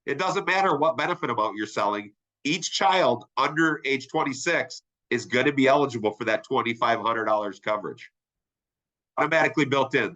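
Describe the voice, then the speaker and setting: Nasal, bright-voiced man giving a webinar. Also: mid-range voice, poor audio. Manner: upbeat, conversational, asks "right?" often. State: congested, sinus infection. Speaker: insurance sales trainer. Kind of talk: webinar presentation.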